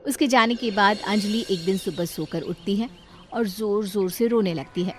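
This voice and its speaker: A woman's voice: medium pitch, moderate pace, background noise. A woman reading scripted narration as the storyteller of a moral tale.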